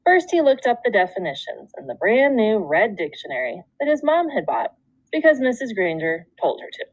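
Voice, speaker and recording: A woman teacher reading a children's book aloud, with expressive storytelling and deliberate phrasing. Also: mid-range voice, no echo, lo-fi sound. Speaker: second grade teacher.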